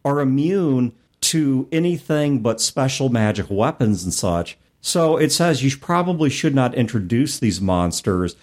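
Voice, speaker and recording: Guy podcasting about tabletop games, very animated, medium pitch, explains stuff casually. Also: unhurried, hardly any pauses. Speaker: podcast co-host. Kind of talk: casual chat about tabletop gaming, reading passages aloud.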